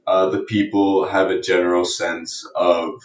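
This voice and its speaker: Podcast guest: young Canadian male, low-pitched, informal and hedging, recorded off-mic in a reverberant room. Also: level tone, measured pace. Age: young adult. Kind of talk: casual interview chat. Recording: roomy echo, distant from mic.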